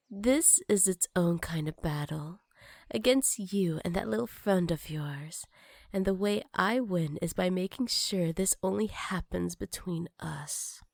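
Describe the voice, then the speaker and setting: A woman's voice: lowish voice, vocal fry, a little mellow, no room sound, studio quality. A woman playing a jealous, flirty female villain in an intimate ASMR roleplay, speaking breathily and teasingly and often slipping into whispers. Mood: teasing, possessive.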